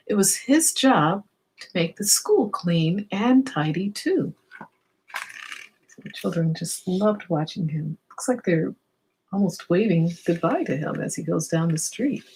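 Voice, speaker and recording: Slow-paced woman reading to children. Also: on the low side, breathy edge, rough recording. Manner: expressive, explains and poses questions to children. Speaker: children's storybook reader. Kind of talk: read-aloud storytelling with asides to young listeners.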